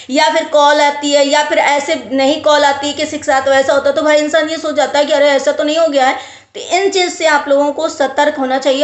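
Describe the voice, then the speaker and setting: A woman vlogger talking casually to viewers and giving advice, explaining with emphatic repetition. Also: high-pitched. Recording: roomy, echoey sound.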